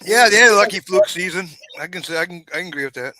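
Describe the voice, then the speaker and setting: Male panelist, sharp bright voice, slightly high, teasing profane sports talk. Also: lively intonation, fast talker. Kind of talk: casual sports chat.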